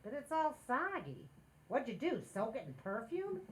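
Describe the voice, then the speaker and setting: Woman acting a lively, high-voiced meddling housewife. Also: normal speed. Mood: breezy, persuasive. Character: playful, meddling married housewife, quick to protest. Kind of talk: 1940s radio comedy acting.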